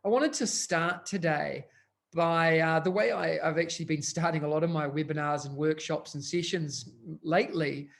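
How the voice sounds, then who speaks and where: Male host presenter, slightly high voice, filler-heavy delivery. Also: fairly even intonation, normal speed, vocal fry. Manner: fluent but full of uh and um fillers. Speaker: education consultant and host. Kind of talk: conference presentation.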